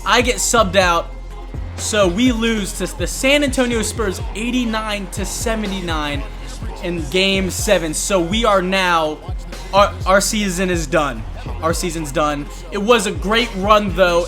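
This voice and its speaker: A man giving self-hyping play-by-play commentary on his own basketball video game, narrating each play in the present tense. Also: high-pitched. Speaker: video gamer and channel host.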